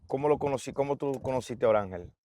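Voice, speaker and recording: A man's voice: medium pitch, fast, noisy background. A man hosting a casual interview, upbeat, dropping English words into his Spanish. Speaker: host. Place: USA.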